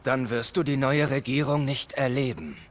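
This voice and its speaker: An actor playing a hostile traitor soldier, cold, threatening and commanding, in dramatic game dialogue. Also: male voice, medium pitch, normal speed, slight background noise, natural voice. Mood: cold threat. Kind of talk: dramatic dubbed video game acting. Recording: loud game music over voice.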